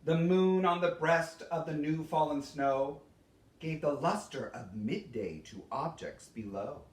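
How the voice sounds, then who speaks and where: A man giving a theatrical poetry recitation as a storyteller, with dramatic, expressive delivery and deliberate pauses. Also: on the high side. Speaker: storyteller reading a Christmas poem. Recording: roomy echo, distant microphone.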